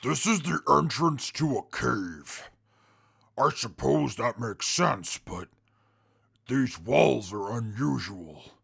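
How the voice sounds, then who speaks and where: Man acting a gravelly, deadpan, stern wastelander. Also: mid-range voice, takes it slowly, full character voice. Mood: curious. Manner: deadpan, formal wording. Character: stern, dry, formal, guarded wasteland interviewer. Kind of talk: audio drama acting.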